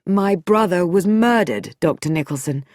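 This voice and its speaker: A woman voicing a resolute young man who speaks in a firm, quietly angry way. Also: lowish voice, animated, normal speed, no room sound, studio quality, close to an everyday voice. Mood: grim, resolute.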